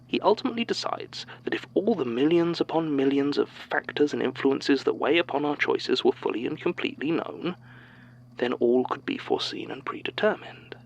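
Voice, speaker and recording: A man's voice: medium pitch, lively intonation, moderate pace, creaky, lo-fi sound. A man playing a sinister, musing manipulator in an audio drama monologue, theatrical and knowing, with some background noise. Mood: hushed, taunting.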